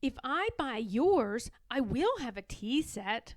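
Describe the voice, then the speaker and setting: A woman's voice: on the high side, big pitch swings, takes it slowly, dry recording, clean studio sound. A woman voicing an eager, earnest young badger girl in a children's storybook, plain-spoken and insistent. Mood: wistful, insistent. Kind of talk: children's storybook read-aloud.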